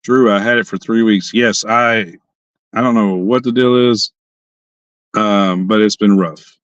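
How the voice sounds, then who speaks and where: Hoarse young man chatting from a car. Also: lowish voice, steady tone, unhurried, fairly bright tone, no echo, dull audio. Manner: rambling, restarts often. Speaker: livestream host. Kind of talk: casual livestream chat. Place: sitting in a parked car.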